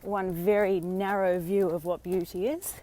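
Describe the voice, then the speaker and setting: An Australian woman vlogging live on a walk outdoors, slightly hoarse from a sore throat, talking in a chatty, encouraging way with many fillers. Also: lowish voice, steady tone, measured pace, warm tone, a little hiss or hum. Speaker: vlogger and dating coach. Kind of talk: casual live-stream talk.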